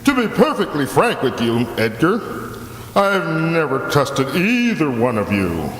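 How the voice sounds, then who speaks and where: A pompous, insulting comedian, acted by a man for radio comedy, fairly high and wildly sing-song. He is mock-polite and sardonic. The recording is a reverberant hall with faint noise. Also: wildly swooping pitch, a little slow, a bit bright, heavily acted. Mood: dry, irritated. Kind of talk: old-time radio comedy acting. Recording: echoey hall with background noise.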